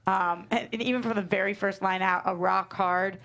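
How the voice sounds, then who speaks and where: A woman with medium pitch and noticeable vocal fry talks casually. She gives informal notes in a group discussion. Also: fairly even intonation, moderate pace, fairly bright tone. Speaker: script table read panelist. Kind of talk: casual script feedback.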